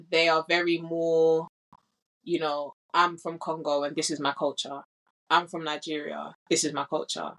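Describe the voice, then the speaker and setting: A woman's voice: deep voice, fairly bright tone, low-quality recording. London woman, earnest interview guest. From England. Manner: thoughtful, earnest, with frequent "like" and "you know". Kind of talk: casual conversational interview.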